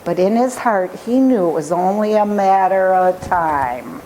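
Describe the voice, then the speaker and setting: A woman storyteller reading a children's picture book aloud with expressive, deliberate phrasing. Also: mid-range voice, slight background noise, low-quality recording.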